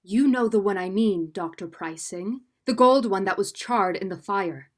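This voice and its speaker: A woman's voice: mid-range voice, normal speed, close to an everyday voice. A student actress playing an anxious, doubtful married woman with earnest melodrama in a vintage radio mystery. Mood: worried, insistent. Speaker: student actor.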